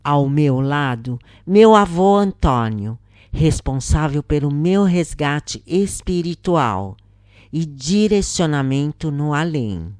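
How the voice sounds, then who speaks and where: A woman with a Brazilian accent reading a scripted first-person spiritual account in a solemn, measured manner, with deliberate pauses. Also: sits low, expressive, a little mellow, no room sound, clean studio sound.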